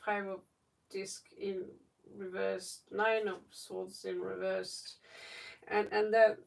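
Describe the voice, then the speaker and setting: A woman talks slowly through a casual tarot livestream, with plenty of fry in her voice. She rambles and thinks aloud as she reads. The microphone sounds some way off from her. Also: fairly high pitch. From Norway. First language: Norwegian. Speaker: tarot reader, livestream host. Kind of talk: casual live tarot reading, chatting. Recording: distant microphone.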